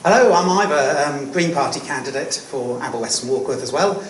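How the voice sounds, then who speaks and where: A man's voice: a little high, animated, brisk, some chatter behind. A man giving a short, friendly but hesitant hustings speech as a candidate. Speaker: election candidate. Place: public meeting hall. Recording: roomy hall, distant mic, noticeable noise.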